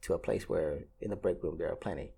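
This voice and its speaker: A man's voice: deep voice, quick pace, vocal fry, mellow, breathy edge. A Vietnam-born ramp agent in his thirties, answering interview questions casually with many fillers and restarts.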